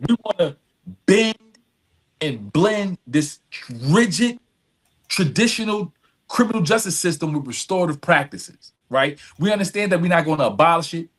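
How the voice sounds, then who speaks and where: A man's voice: on the high side, expressive, normal speed, a bit bright. A middle-aged man telling stories as an interview guest, earnest and emphatic, repeating phrases and often checking in with "right?". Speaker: podcast guest, nonprofit executive director. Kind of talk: conversational interview storytelling.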